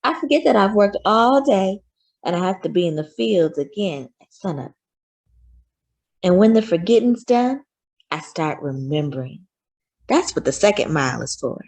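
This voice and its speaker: A woman voicing a loving, patient mother who gently reassures her young son in a children's storybook read-aloud. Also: medium pitch, lively intonation, moderate pace. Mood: tender, reassuring.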